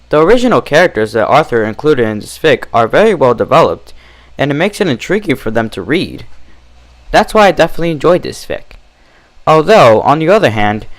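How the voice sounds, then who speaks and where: A man reads and reviews stories in an expressive, casual way. His pitch moves around a great deal, and the recording is dry and close. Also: dry recording, rough recording. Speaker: fan fiction reader and reviewer. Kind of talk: storybook narration and casual review.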